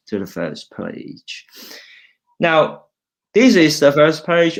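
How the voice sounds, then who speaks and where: Hong Kong academic, middle-aged man, low voice, halting, restarts often, mixes English and Cantonese. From China, raised in Hong Kong. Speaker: university lecturer, guest speaker. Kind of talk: informal talk presenting slides.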